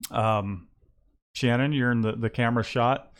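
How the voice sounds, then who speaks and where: A man hosts a relaxed livestream about running his print shop. He talks in a loose, rambling way, stopping and restarting his sentences and filling the gaps with "uh". His voice sits at an ordinary middle pitch. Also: measured pace. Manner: rambling, with many restarts and fillers. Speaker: host and screen-print shop owner. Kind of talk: casual livestream chat.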